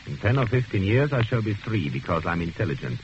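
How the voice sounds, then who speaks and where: Old radio drama: a cruelly clever convict, low-voiced, smooth and precise, rises and falls with sly menace; muffled vintage sound. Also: male voice, sing-song, fairly quick, slight background noise. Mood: smug. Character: cold, scheming male convict, cruelly intellectual. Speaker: prisoner narrating his scheme. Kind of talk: 1940s radio drama acting. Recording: dull old radio recording.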